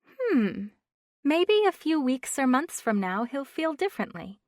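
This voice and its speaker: Woman putting on a bubbly high gushing friend. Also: sing-song, unhurried, darkish tone, dry recording, studio quality. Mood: excited. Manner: gushing, squealing, teasing. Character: bubbly excitable young woman friend on phone.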